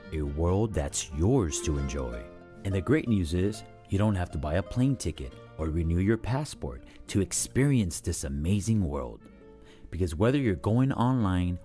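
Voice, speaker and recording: A man's voice: low-pitched, dark tone. A man reading a scripted advertising voice-over as a professional voice actor.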